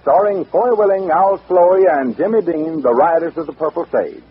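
A tinny, narrow-band vintage radio recording carries an American man's voice. He is very husky and rough in tone. He reads as a scripted announcer, introducing and narrating an old-time radio drama. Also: fairly high pitch, normal speed.

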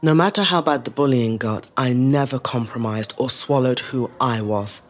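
A woman playing a resilient middle-aged woman telling her life story in a measured, reflective dramatic monologue. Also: very deep voice. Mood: defiant. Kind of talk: scripted dramatic monologue, first-person storytelling.

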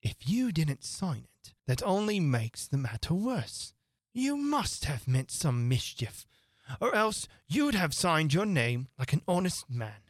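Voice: mid-range voice, very animated, slow, dry recording, voice pushed up. Storybook king acted by an adult reader: pompous, blustering, muddled judge, emphatic delivery, dry studio-like closeness.

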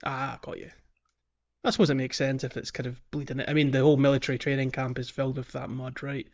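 A man's voice: mid-range voice, flat delivery, quick pace, highs cut off. A man hosting a casual video game playthrough podcast, explaining things in a hesitant, rambling way. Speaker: podcast host.

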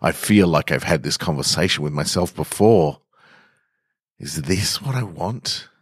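A man narrating short fiction in a dramatic, measured and deliberate way with weighty pauses. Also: extremely low pitch, breathy edge, no echo, studio quality. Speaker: storyteller reading short fiction on a podcast.

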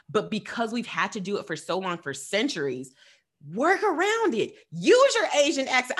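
Podcast co-host, woman, emphatic and lively, wide pitch swings. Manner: animated, emphatic, laughing asides. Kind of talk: passionate casual discussion.

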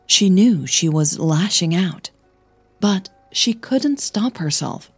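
A woman narrating a scripted audio story as its host, measured and deliberate in her delivery. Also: on the low side, animated, husky, no echo, faint music behind.

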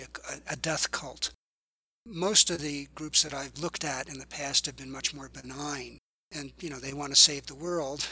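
A man's voice: a little high, pushed and bright, slightly rough, no echo, low-quality recording. An older man, an author and journalism professor, answering podcast interview questions thoughtfully, often repeating words as he searches for the phrase.

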